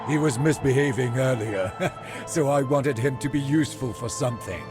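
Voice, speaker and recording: A man's voice: medium pitch, moderate pace, over music, close to an everyday voice. A man voicing an earnest village father and husband in a video game, emphatic and theatrical. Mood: playful.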